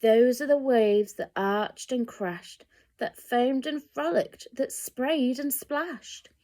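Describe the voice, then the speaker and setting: A woman reading a picture book aloud to children, with expressive, dramatic emphasis and direct asides to young listeners. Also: high-pitched, slow.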